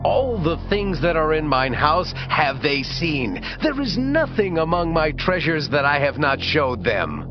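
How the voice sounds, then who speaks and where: A man playing an earnest, dignified king, speaking in a stately, deliberate way in a dramatized scripture reading. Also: fairly high pitch, sing-song. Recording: dull sound under loud music. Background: music.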